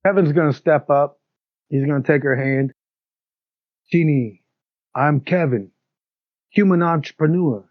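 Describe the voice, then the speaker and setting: Higher-voiced male gamer, slightly muffled recording. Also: measured pace, pauses often, no room sound. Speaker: tabletop game player. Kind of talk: playful narrating and role-play.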